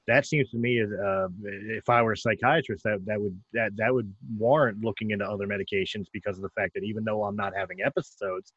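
A man's voice: on the low side, slightly rough, dry recording. A man hosting a podcast, talking casually with frequent stammering restarts. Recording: dull, dry, slightly lo-fi.

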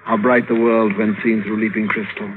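An actor as a silky, cruel prince on tinny old radio. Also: male voice, lowish voice, little pitch movement, normal speed, close to an everyday voice. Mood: musing, imperious. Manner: theatrical, musing, commanding. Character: cruel, silky young despot prince plotting against his mother. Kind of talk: old radio drama acting. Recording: tinny, hissy old radio broadcast recording.

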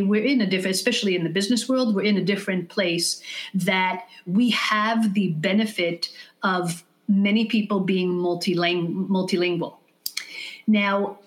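A woman speaking as a podcast guest, with a voice of middling pitch. She lays out her advice in a measured, explanatory way and leans on practical examples. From United States. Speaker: guest, leadership consultant. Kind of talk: interview answers.

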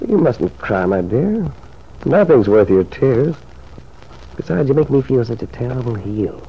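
A man's voice: mid-range voice, very animated, measured pace, husky, exaggerated voice. An actor playing an ardent, reassuring lover of a married woman in an old radio soap opera, suave and earnest. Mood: tender, soothing. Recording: muffled old radio recording.

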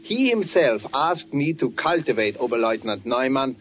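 An actor playing a smooth, sly German officer who probes his companion in a 1940s radio drama. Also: medium pitch, sing-song, moderate pace, a little hiss or hum, natural voice. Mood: insinuating. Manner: insinuating, probing, mock-friendly. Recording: muffled 1940s radio broadcast.

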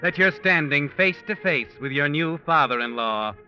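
An actor narrating an old-time radio mystery in dramatic second person, addressing the hero directly. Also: male voice, on the high side, animated, moderate pace, sharp tone, soft background music. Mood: ominous. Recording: dull old radio recording.

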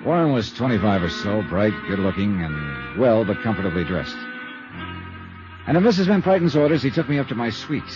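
A man's voice: lowish voice, sing-song, fairly quick. A man playing a wry, clipped American private detective in a 1950s radio drama, speaking colloquially. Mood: wry narration. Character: wry, brisk American male insurance detective. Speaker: private investigator and narrator. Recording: dull 1950s radio recording, music behind.

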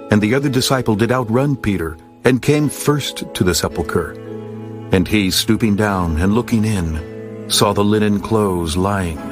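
Male narrator, low voice, solemn steady scripture reading, faint music bed. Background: soft music.

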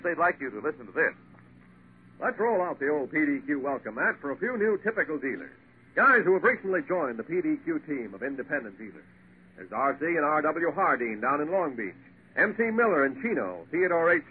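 A man working as a radio announcer, reading scripted copy in 1940s broadcast style. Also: on the high side. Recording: tinny old radio broadcast, faint hiss.

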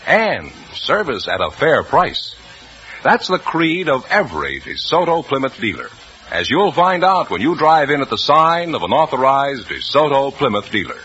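A man's voice: medium pitch, big pitch swings, moderate pace, bright, forceful tone, faint music behind. An American man announcing in the polished style of a 1950s radio show. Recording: dull old radio broadcast recording.

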